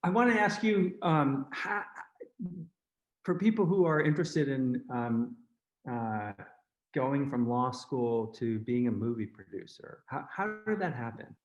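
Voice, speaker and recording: A middle-aged man hosts the interview, asking questions in a halting way and answering with short affirmations. His video-call audio sounds dull and lo-fi, with a roomy echo. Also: medium pitch. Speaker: host, interviewer. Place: home room, indoors.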